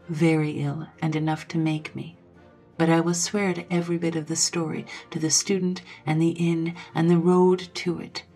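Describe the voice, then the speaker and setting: Woman acting out an agitated, indignant old gentleman. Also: deep voice, slightly rough. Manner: emphatic, indignant exclamations.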